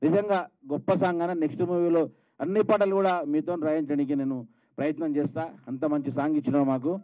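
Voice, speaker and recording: A man's voice: fairly high pitch, fairly even intonation, fast, no echo, muffled recording. A man with a Telangana Telugu accent giving an informal thank-you speech as a film producer, calling people out by name in a conversational way. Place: film trailer launch stage.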